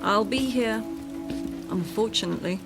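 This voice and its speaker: An actress playing a headstrong, demanding wife in a radio drama, with a sarcastic, bossy manner. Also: female voice, medium pitch, moderate pace, creaky, loud music behind the voice, close to an everyday voice. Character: headstrong, demanding, sardonic wife chasing a perfect life.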